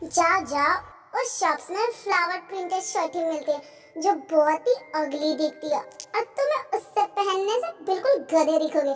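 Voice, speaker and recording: A woman's voice: extremely high pitch, expressive, normal speed, faint music behind. A woman voicing a jealous, sulky young wife in a romance story, snapping and sarcastic. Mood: sulky muttering.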